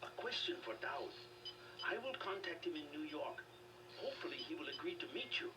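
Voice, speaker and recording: Through noise and a little distance, a secretive resistance leader in a game. His phrasing is formal and measured, his pitch swinging widely. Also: big pitch swings. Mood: measured, cryptic. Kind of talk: video game dialogue. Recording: noisy distant game capture.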